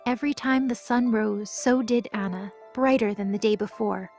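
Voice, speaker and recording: A woman's voice: medium pitch, normal speed, a little mellow. A woman narrating a scripted audio drama as a storyteller. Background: music.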